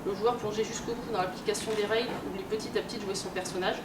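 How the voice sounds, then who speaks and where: A woman giving an informal conference lecture, explaining in a colloquial, halting way with frequent hesitations and repeated words. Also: mid-range voice, steady tone. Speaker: guest speaker, researcher and game publisher. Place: room at a convention, recorded from afar. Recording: distant roomy mic, loud background noise. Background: loud ambient noise.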